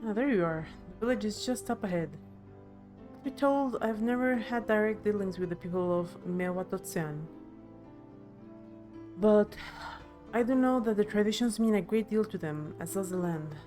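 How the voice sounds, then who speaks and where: A woman's voice: mid-range voice, moderate pace, pauses often, a little mellow, faint music behind, natural voice. A woman voicing an observant, earnest travelling companion in a fantasy game, reading live with slight stumbles. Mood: appreciative. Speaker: streamer voicing game dialogue. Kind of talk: game dialogue read aloud.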